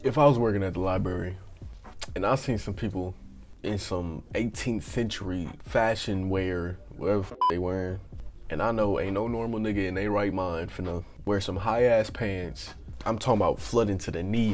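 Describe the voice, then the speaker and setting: A young man hosting a reaction video, talking in animated, slangy, joking commentary, his voice a little raspy from just waking up, recorded on an earbud mic. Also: deep voice, normal speed. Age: young adult. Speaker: reaction channel host. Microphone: earbud headset mic.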